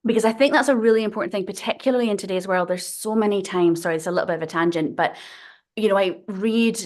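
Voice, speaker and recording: A woman writer chatting in an interview, her voice sitting in a middle pitch. She tells her stories in a loose, digressive way, drifting into tangents and laughing often. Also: fast talker, a little mellow. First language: not Swedish. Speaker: guest writer. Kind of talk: casual interview conversation.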